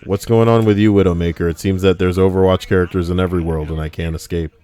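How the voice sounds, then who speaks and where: Deep-voiced joking streamer man. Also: fairly even intonation, fast, warm tone. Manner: joking, crude, rambling. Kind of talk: casual gaming banter.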